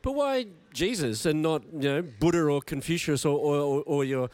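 A man's voice: on the high side, animated, normal speed, a little mellow. A man hosting a live interview show outdoors at a festival, upbeat and presenter-like. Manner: upbeat, presenter-style introductions. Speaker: show host.

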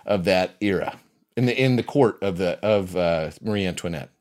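A man's voice: low voice, normal speed, a little mellow. Chatty, rambling man hosting a film show. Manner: chatty, rambling, often doubles back on phrases. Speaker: film review show host. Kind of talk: casual unscripted film review chat.

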